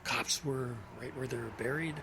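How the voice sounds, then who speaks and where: An actor playing a cranky, defensive elderly man with failing memory in an audio drama, gruff, muttering and curt. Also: medium pitch, moderate pace, pushed and bright, slight background noise. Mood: wary, dismissive. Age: older.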